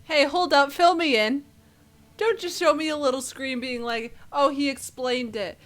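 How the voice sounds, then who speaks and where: A woman streamer loudly commenting over gameplay in an indignant, joking way. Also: high voice, fairly even intonation, unhurried.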